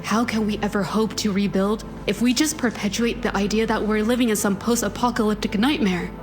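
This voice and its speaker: Female actor for idealistic aunt who runs a survivor settlement: medium pitch, earnest, articulate, firm, game dialogue over music. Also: fairly even intonation, brisk, lightly acted. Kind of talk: video game cutscene acting.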